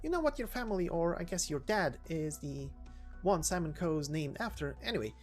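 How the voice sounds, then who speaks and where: A woman's voice: fairly low pitch, lively intonation, moderate pace, darkish tone, faint music behind, voice pushed up, lightly acted. A woman voicing a chatty, cheeky young woman helper with slangy, breezy talk in a game story reading. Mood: explaining, casual. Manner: slangy, breezy, stumbling over big words.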